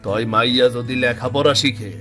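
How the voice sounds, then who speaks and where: A man's voice: medium pitch, fairly even intonation, moderate pace, bright, forceful tone, soft background music, lightly acted. A man playing a humble, loving poor farmer father with an East Bengali accent in a children's cartoon. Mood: humble, hopeful.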